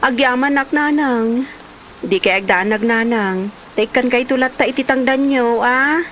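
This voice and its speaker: A woman's voice: fairly high pitch, measured pace, fairly bright tone, slight background noise, rough recording. A woman playing an aggrieved young mother and wife in a comedy drama, with a Filipino accent and a dramatic, exclamatory delivery. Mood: grateful.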